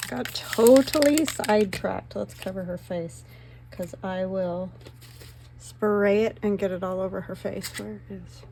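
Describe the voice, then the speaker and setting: A middle-aged woman with a slightly hoarse voice talks her viewers through a craft project in a rambling, self-mocking way. Paper rustles and clicks sound behind her, and the recording has a clear layer of background noise. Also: mid-range voice, a little slow. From northern Nevada, US. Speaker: craft channel host. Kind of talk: chatty crafting commentary.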